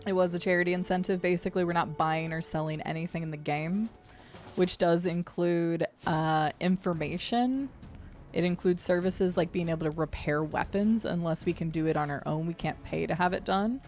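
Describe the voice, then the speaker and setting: A young adult woman with a gravelly voice and deep vocal fry, chatting casually while streaming a game. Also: moderate pace, darkish tone. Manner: chatty, swears and laughs at the game. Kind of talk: casual live-stream chat while gaming. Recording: faint game music behind.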